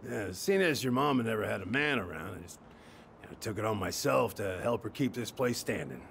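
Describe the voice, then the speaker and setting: A low, creaky-voiced middle-aged handyman, awkward, wistful and well-meaning. He talks in halting, folksy phrases, with faint ambience behind him. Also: lively intonation, fairly bright tone, slight background noise. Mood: bashful, fond. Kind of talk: naturalistic video game acting.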